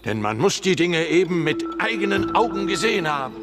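A man acting a panicky, hostile townsman in a radio play, shrill, agitated and emphatic. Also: very animated, brisk, over loud music. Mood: indignant. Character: panicky, hostile Milanese townsman, suspicious of strangers.

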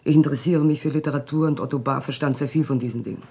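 Husky, distraught, evasive wife. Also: female voice, extremely low pitch, steady tone, warm tone, heavily acted. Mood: nervous, evasive. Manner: hesitant, halting, agitated. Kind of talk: German radio play acting, dramatic dialogue.

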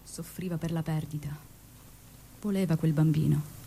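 An actress playing a revolutionary woman in love, recalling her story in a measured, confiding, emotional stage monologue. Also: low voice, steady tone, creaky, mellow, slight background noise. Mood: sorrowful. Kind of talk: dramatic first-person stage monologue.

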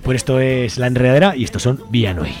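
Fast-talking Spanish radio host, male. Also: medium pitch. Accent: Spain. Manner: chatty, colloquial. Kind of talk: informal radio interview and show sign-off.

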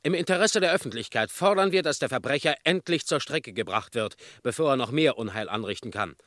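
An actor playing a formal newsreader reading press reports in a radio drama. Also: male voice, mid-range voice, animated, brisk, a bit bright, dry recording, studio quality, close to an everyday voice. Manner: formal, declamatory.

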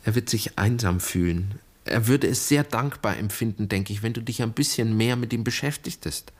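An actor playing a serious, searching son and brother in a German radio drama, earnest, polite and probing. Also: male voice, lowish voice, normal speed, close to an everyday voice. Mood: earnest, concerned.

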